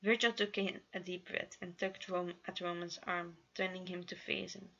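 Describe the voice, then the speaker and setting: Woman reading a story, creaky bright voice. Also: on the low side, little pitch movement, normal speed, dull audio. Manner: stumbles over words and rereads them. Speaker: narrator reading her own fan fiction.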